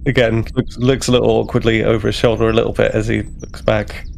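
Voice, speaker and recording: Creaky-voiced man narrating haltingly. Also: lowish voice, little pitch movement, darkish tone, slight background noise. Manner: halting, searching for words. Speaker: player in a tabletop roleplaying game. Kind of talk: casual improvised narration.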